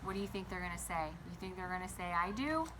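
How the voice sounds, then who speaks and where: A woman reading a picture book aloud to children like a teacher, pausing to explain and ask them simple questions. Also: fairly low pitch, level tone, heavy background noise. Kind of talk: storybook read-aloud with explanatory asides.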